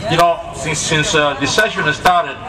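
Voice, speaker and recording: A man's voice: on the high side, moderate pace, a loud crowd. An older man with a Cuban Spanish accent giving an impassioned rally speech as a state representative, repeating words as he goes. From Cuba. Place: outdoor protest rally. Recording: distant mic with loud outdoor noise.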